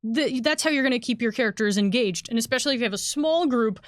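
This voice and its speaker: A woman game designer talking casually on a panel, explaining things in a halting, think-aloud way. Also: a little high, dry recording, studio quality. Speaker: game designer on a company livestream.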